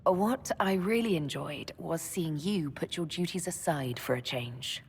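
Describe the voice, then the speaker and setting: An actress playing a wry, warm-hearted woman hero in a video game, with a husky voice and teasing manner. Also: deep voice, measured pace, a little hiss or hum. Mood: amused, fond.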